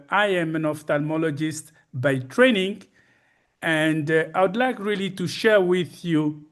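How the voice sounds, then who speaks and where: Slow, high-pitched elderly man presenting. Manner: halting, deliberate, formal and courteous. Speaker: conference speaker, retired ophthalmologist. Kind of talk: personal presentation to a conference.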